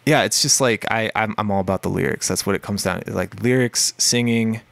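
A man with a deep voice, a musician guest in a casual podcast interview, talking in a rambling way with many fillers and restarts. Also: a little fast, gravelly, fairly bright tone, dry recording. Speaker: guest musician, singer and guitarist.

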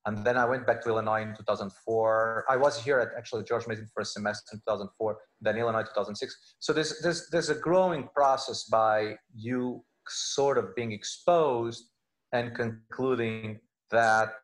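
A middle-aged man from Portugal, a native Portuguese speaker with a Portuguese accent, giving halting, conversational interview answers as a professor on a video call. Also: lowish voice. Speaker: law professor, interview guest. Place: indoor room, video call. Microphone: wired earphones on a video call.

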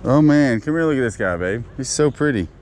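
A man's voice: medium pitch, lively intonation, brisk, darkish tone. A man in his thirties hosting a casual fishing vlog by a lake, chatting easily with the kids and the camera. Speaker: vlog host. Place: lakeside park outdoors. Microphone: body-worn action camera. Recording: outdoor camera mic with wind noise.

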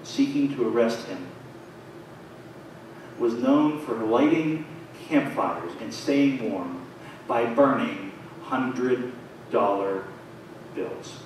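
A man in his thirties preaching a sermon in a small church, his phrases rising and falling in a measured way. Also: medium pitch, lively intonation. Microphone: far camera, pulpit mic. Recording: very echoey church from far off, faint noise.

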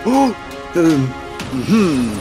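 A man's voice: on the high side, big pitch swings, drawn-out words, exaggerated voice. A man voicing a cheerful, friendly cartoon truck hero who babbles in gibberish, hums and exclaims. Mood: curious, pondering. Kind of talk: children's cartoon character voice. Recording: loud music behind the voice.

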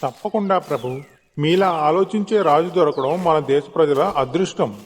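A man playing a loyal, calm and humble royal minister, respectful and reassuring, in an animated moral story. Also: fairly high pitch, normal speed, natural voice. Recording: long hall-like reverb.

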